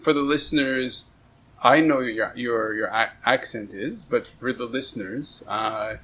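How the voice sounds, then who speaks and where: A man's voice: mid-range voice, a little slow, bright, forceful tone. A man hosting a casual, conversational interview in an effusive, complimentary way. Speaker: interviewer and show host. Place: on a houseboat, seated at a table. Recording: clear background noise and ambience.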